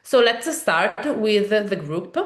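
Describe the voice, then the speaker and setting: A woman with an Italian accent, a native Italian speaker, walking through a software demo in a webinar and explaining each step, with frequent fillers and restarts. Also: medium pitch, slow, echoey room. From Italy. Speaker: software trainer.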